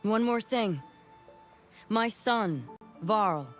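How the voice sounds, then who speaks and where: A woman's voice: medium pitch, expressive, drawn-out words, faint music behind. An actress playing a tough, stern village defender and mother in a family adventure game, firm and dryly comic. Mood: worried.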